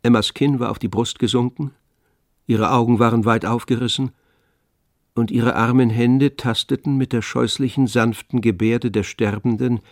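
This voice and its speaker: A man narrating a literary radio drama in a measured, grave manner. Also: medium pitch, gravelly, darkish tone, dry recording, clean studio sound.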